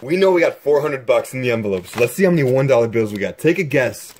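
A young man narrating his own exploration vlog, excitedly exclaiming over what he finds while filming up close with a handheld camera. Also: medium pitch, fast, a little hiss or hum. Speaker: exploration vlogger.

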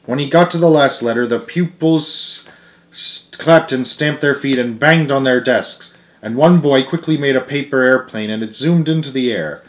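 A young man with a mid-pitched voice narrates a children's book. He is an amateur reader, sometimes tripping on a word and fixing it. He reads in a living room. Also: moderate pace. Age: young adult. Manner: stumbles over words and corrects himself. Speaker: storyteller reading a children's novel aloud. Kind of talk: amateur read-aloud narration. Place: living room at home, indoors.

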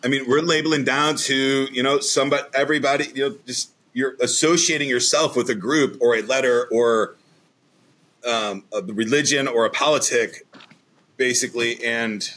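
A man's voice: mid-range voice, normal speed, a bit bright. A man in his forties, a podcast guest, talking in a rambling, emphatic way over a video call from a room at home. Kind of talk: casual interview talk.

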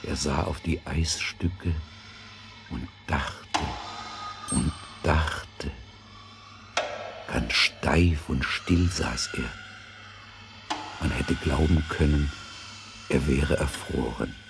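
A man's voice: a bass voice, extremely slow, vocal fry, long pauses, over music. A man narrating a scripted fairy tale as the storyteller of an audio drama.

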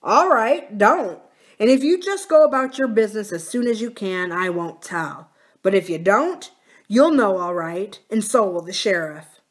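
A woman's voice: mid-range voice, expressive, unhurried, close to an everyday voice. A woman voicing a crafty, hard male farmer in an audiobook, blunt, smug and menacing. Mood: taunting threat. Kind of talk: audiobook character dialogue.

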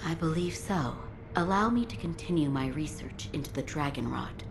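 Game doctor lady, deep slow voice, calm and formal, soft-spoken, noisy ambience. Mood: serious, thoughtful. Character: composed, gentle female physician, quietly wry and formal. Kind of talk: video game voice acting.

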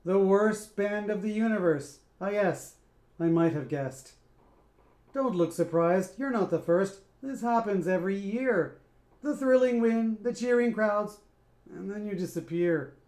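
A man's voice: sits high, lively intonation, slow, darkish tone. A man reading a picture book aloud, voicing a cool, mocking male alien who leads a junkyard band, with a smug, drawling manner. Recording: roomy echoey room.

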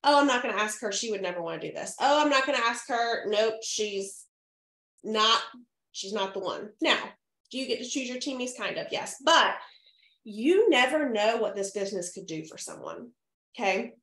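A woman in her thirties, a little hoarse from a cold, coaching her sales team over a webcam in an upbeat way, often checking in with the listeners. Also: on the high side, expressive, moderate pace, a bit bright. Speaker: direct sales team leader. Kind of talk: training talk to a sales team. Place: home room, indoors. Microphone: webcam on a video call. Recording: echoey room.

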